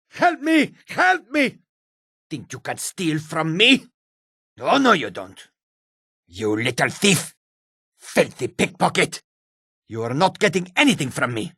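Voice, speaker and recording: A man voicing a wary, combative male cat-folk character from a fantasy video game, with a gravelly, raspy voice and exotic, formal phrasing. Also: on the high side, pushed and bright, no echo, clean studio sound, heavily acted. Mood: panicked, then outraged.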